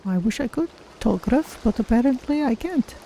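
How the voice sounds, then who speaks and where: A streamer voicing a gentle, polite cat-folk adventurer, musing unhurriedly through a fantasy video game. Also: mid-range voice, lively intonation, normal speed, vocal fry, slight background noise, rough recording. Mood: gentle, courteous.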